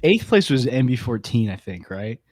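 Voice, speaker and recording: A man's voice: medium pitch, big pitch swings, moderate pace, crowd noise. A man co-hosting a podcast in a casual, chatty way. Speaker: podcast co-host. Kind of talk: casual chat.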